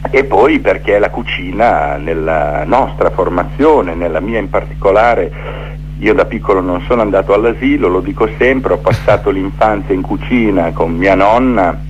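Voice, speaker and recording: Low-voiced middle-aged man, dull noisy line. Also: normal speed. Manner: reflective, builds rhythmic lists. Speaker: theatre maker interviewed as guest. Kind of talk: radio interview, storytelling. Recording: dull, noisy phone-in with hum.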